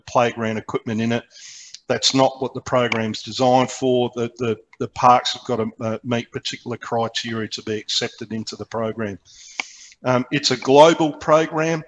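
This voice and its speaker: A man's voice: medium pitch, fairly bright tone, poor audio. An Australian man giving an informal, explanatory slide presentation over a video call, with frequent hesitations as he goes. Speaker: presenter, amateur radio programme coordinator. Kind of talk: slide presentation to a club meeting. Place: remote video meeting, speaking from indoors.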